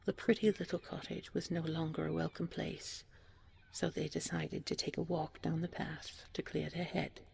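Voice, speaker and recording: Gravelly, hushed woman storyteller. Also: sits low, level tone, moderate pace, a little hiss or hum. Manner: gentle, hushed, breathy delivery often falling to near-whisper. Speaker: storyteller, audio roleplay voice actor. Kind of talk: scripted fairy-tale narration, soft sleep-aid bedtime storytelling.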